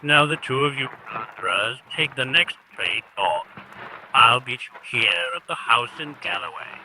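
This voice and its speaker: An actor playing a short-tempered villainous spy ringleader in a radio drama, commanding and contemptuous. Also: male voice, medium pitch, animated, measured pace, pushed and bright, a little hiss or hum, heavily acted, rough recording. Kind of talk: old-fashioned radio drama acting.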